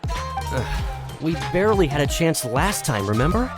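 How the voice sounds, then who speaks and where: Blunt, animated warrior. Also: male voice, on the high side, unhurried. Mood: frustrated, urgent. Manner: blunt, grumbling. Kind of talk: video game voice acting. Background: background music.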